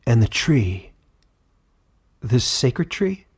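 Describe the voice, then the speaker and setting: A man voicing a gentle, wise old male warden in a fantasy audiobook, with a kindly, fond manner. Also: medium pitch, very animated, drawn-out words, slightly rough, full character voice. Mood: quietly eager. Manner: kindly, fond, addresses listener as child.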